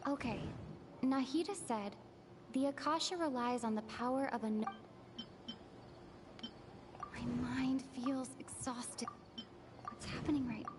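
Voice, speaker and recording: A woman's voice: high voice, measured pace, long pauses, music in the background. A woman playing a weary young woman in a fantasy video game. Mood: weary, puzzled.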